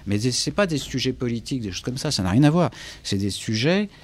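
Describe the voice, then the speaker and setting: A man's voice: mid-range voice, lively intonation, brisk. A man from France with a French accent, speaking reflectively as an interview guest, often searching for words and repeating himself. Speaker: interview guest, English teacher and author.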